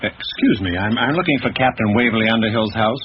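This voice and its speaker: An actor playing a polite, uneasy professor in a radio drama, courteous, reserved and a little hesitant. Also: male voice, lowish voice, expressive, fairly quick, natural voice. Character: polite, uneasy male professor seeking help. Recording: dull, noisy radio drama recording.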